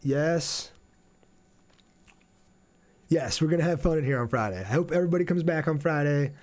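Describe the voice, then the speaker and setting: A man's voice: on the high side, normal speed, a little mellow. A man chatting casually and informally on a game livestream, reading out viewer comments and joking at his own expense.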